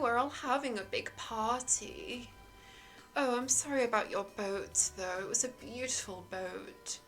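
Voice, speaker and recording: Woman voicing a sly lake creature, female, slow, hushed, coaxing, seductive. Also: fairly high pitch, pitched above the performer's own voice.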